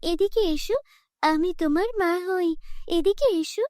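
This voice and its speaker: A sugary, two-faced stepmother from a cartoon tale, voiced by a woman. Her voice is very high and coaxing, with a dry, close sound. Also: a little slow, darkish tone, dry recording.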